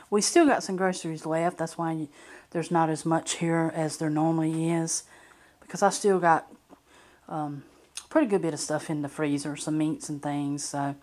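A woman's voice: deep voice, darkish tone. A woman talking casually and plainly as she narrates a grocery haul on a handheld camera at home. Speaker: home vlogger. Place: indoors at a kitchen or dining table. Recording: noisy home recording, crinkling packages. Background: crinkling packaging, clicks, background noise.

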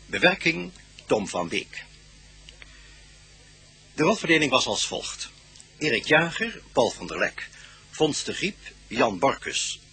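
A man's voice: mid-range voice, very animated, takes it slowly, pauses often, pushed and bright, husky. A male radio announcer giving a formal, scripted reading of programme credits.

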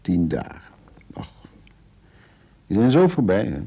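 An actor in a Dutch radio drama playing an evasive, withdrawn husband in a stale marriage, his voice husky and muted. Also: lowish voice, huge pitch swings, slow, muffled recording, full character voice.

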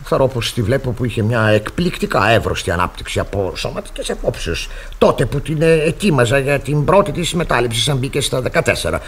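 An actor playing a stern, fussy, easily fooled pastor in a radio play, with a pompous, sermonising, flustered manner. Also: male voice, medium pitch, animated, hardly any pauses, husky. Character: stern, moralising, credulous, fussy male pastor. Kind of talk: radio theatre acting.

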